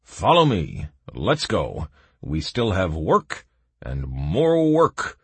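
A stern fantasy prison guard played by a male narrator growls out orders with grim impatience. The voice is husky and rough, lifted from a low natural register into forceful barking, in a dry storybook recording. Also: wildly swooping pitch, slow pace, no room sound, heavily acted. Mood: gruff.

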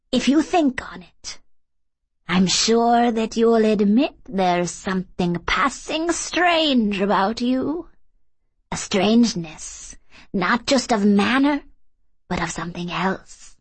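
A woman voicing a solemn old fairy witch from a storybook, speaking slowly in formal, cryptic, archaic phrases. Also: medium pitch, husky, no echo.

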